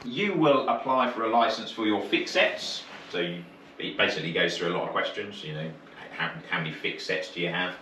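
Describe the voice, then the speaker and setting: A man's voice: deep voice, a little fast, bright, forceful tone. A British man working as an instructor, teaching informally and explaining step by step with short checking questions. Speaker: marine radio course instructor. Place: indoor training room. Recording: roomy, distant mic, faint noise.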